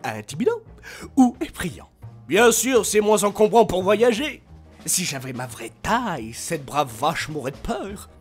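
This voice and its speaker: A man acts out a pint-sized cartoon dragon, showy and full of brag. The delivery is theatrical and swoops hugely in pitch, over light music. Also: big pitch swings, a little slow, husky, exaggerated voice. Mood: boastful, indignant. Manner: theatrical, bragging.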